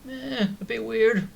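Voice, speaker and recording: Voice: mid-range voice, vocal fry, warm tone, dull audio. A gaming streamer giving casual, joking playthrough commentary with muttered asides to the game.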